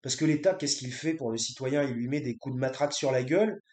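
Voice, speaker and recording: Indignant male vlogger ranting off the cuff, restarts often, mid-pitched voice, roomy echo. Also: quick pace, a little mellow. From grew up in France from age three. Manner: indignant, repetitive, many restarts and fillers. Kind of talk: unscripted political rant.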